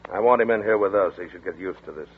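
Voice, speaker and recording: An actor playing a tough big-city homicide detective who speaks bluntly and curtly, in 1940s radio acting. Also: male voice, sits low, fast, natural voice. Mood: terse. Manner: blunt, curt and commanding. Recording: tinny noisy 1940s radio broadcast.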